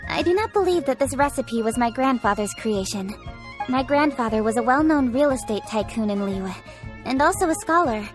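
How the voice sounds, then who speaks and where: A woman's voice: on the high side, animated, normal speed, close to an everyday voice. A woman voicing a dignified, reflective young female official in a video game, formal and composed, with articulate phrasing. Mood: reflective, nostalgic. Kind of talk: video game character dialogue. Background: music.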